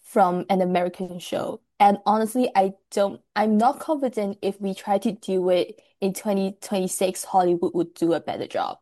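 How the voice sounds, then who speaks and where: A woman's voice: mid-range voice, fairly even intonation, moderate pace, hardly any pauses, mellow, no echo. A woman from Hong Kong talking candidly and emphatically in a casual podcast chat.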